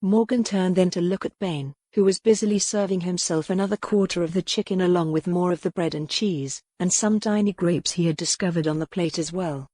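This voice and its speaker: A woman reads a novel aloud in an even, measured way. Her voice sits fairly low with a dark, mellow timbre, and the close mic leaves a dry sound with no room. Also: no echo. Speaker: audiobook narrator. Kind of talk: scripted novel narration.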